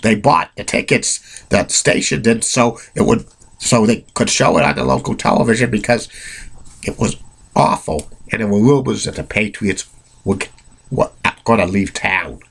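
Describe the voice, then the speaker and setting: A low-voiced man with a cold talks off the cuff, his tone gravelly and a bit husky. He rambles through restarts and fillers and gives blunt opinions. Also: animated, normal speed. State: has a cold. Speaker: amateur sports history narrator. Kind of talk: unscripted solo narration.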